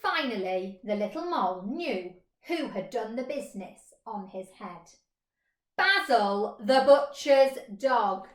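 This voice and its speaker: A woman's voice: a little high, expressive, slow. A woman teacher reading a children's story expressively in a roomy space.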